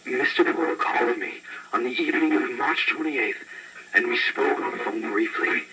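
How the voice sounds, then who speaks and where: A man playing a shaken archaeologist giving grim, halting testimony in a horror drama. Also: medium pitch, sharp tone, a little hiss or hum, low-quality recording. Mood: grave, resolute. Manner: halting, grave, repeating himself. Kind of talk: horror monologue, recorded testimony.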